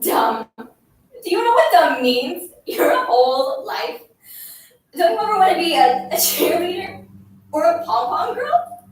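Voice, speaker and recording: A woman's voice: a little high, animated, measured pace, pauses often, fairly bright tone. A woman playing a cruel schoolgirl who bullies a silent classmate with taunting, insistent commands in a dramatic story performance. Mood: scornful, furious. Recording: very echoey room, distant mic, faint noise.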